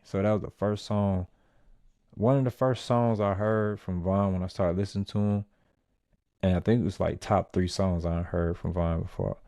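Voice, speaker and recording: A man's voice: low voice, creaky, mellow, no echo, studio quality. A man hosting a podcast, talking in a laid-back, rambling way with many fillers. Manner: laid-back, filler-heavy street talk. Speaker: podcast host. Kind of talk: casual commentary.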